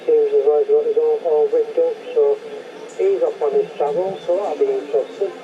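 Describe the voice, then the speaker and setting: A man's voice: fairly high pitch, fairly even intonation, normal speed. A man with a South Yorkshire accent chatting casually and in a rambling, matter-of-fact way as a CB operator over the radio. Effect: CB radio transmission. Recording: tinny band-limited CB radio, clear noise.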